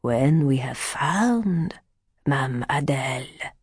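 A woman plays a withered old fortune-teller man from a gothic storybook. He is sly and superstitious, speaking low and very slowly. His foreboding words break into exclamations and muttered asides. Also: fairly even intonation, dark tone, no echo, studio quality, heavily acted. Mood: ominous, slow. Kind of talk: audiobook character dialogue.

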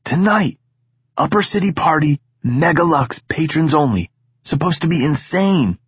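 A brash, teasing teenage boy who talks his friend into things, played by a male narrator. His voice sits high and a little loud, with a husky grain. The recording sounds a touch dull. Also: lively intonation, measured pace, dry recording, exaggerated voice. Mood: pushy eager.

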